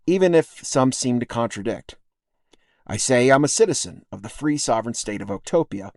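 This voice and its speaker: Casting: American male essay narrator, fifties, medium pitch, thoughtful and wry delivery, very dry acoustic. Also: darkish tone, no room sound. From United States, upstate New York and Texas. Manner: measured, reflective, wry. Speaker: podcast writer and narrator. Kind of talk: scripted personal essay narration.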